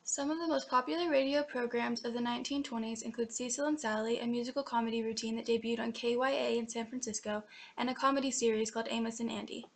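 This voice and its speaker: A woman's voice: fairly high pitch, steady tone, quick pace, vocal fry. A young woman reading scripted documentary narration for a student history project.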